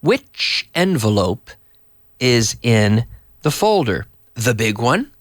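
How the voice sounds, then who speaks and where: Hoarse man teaching English, lively pitch. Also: no echo, clean studio sound. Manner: chatty, reads viewer messages aloud and explains slowly. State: hoarse, coming down with a cold. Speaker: English teacher and live-stream host. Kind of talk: live online English lesson.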